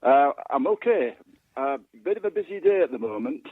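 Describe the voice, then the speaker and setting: A man's voice: fairly high pitch, animated, normal speed, gravelly, breathy edge. An older man from West Yorkshire, an author, talking casually and hesitantly as a phone guest in an interview. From West Yorkshire, England. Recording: echoey, tinny phone line.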